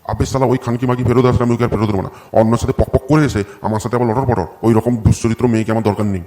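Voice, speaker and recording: A man's voice: medium pitch, steady tone, rapid-fire, mellow, close to an everyday voice. A man playing an aggrieved, stubborn village husband in a comedy cartoon, whining defiantly in crude words.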